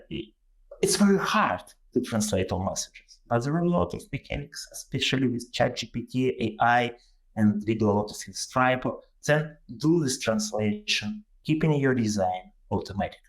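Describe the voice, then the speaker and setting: A man with a Ukrainian accent presents in a webinar over a video call. His voice sits in a middle range for a man. He talks haltingly, with plenty of fillers and restarts. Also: mid-range voice, animated. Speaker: guest presenter.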